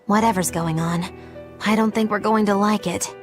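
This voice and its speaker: A woman's voice: medium pitch, steady tone, normal speed, soft background music, lightly acted. An actress voicing a playful, theatrical young witch in game dialogue, teasing and sly in her delivery. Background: game score.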